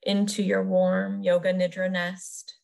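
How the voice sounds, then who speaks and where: A woman leading a guided meditation as a yoga nidra teacher, soothing and unhurried, pausing between short phrases. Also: medium pitch, monotone, vocal fry, darkish tone.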